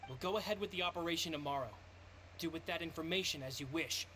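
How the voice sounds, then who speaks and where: A man's voice: on the high side, fast, sharp tone, a little hiss or hum. An actor playing a curt, impatient henchman in a video game. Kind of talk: video game character acting.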